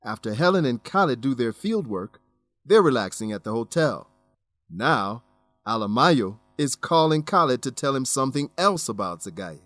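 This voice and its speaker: Animated male narrator in a dry studio. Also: mid-range voice, moderate pace, dry recording, faint music behind. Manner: expressive, clear explaining for learners. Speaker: narrator and host. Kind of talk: scripted radio drama narration and English lesson.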